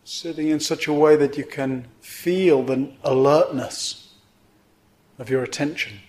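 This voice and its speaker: Man slowly guiding a meditation. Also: a little high. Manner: measured phrases with pauses, gentle instructing. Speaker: meditation teacher. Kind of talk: guided meditation instruction.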